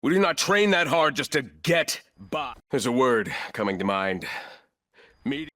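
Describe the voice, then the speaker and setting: A sharp, ruthless executive soldier. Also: male voice, mid-range voice, measured pace. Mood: stern, disdainful. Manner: clipped, commanding, coolly condescending. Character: ruthless, arrogant corporate executive turned battlefield operator, adult man. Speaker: playable military shooter operator. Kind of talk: video game combat voice lines.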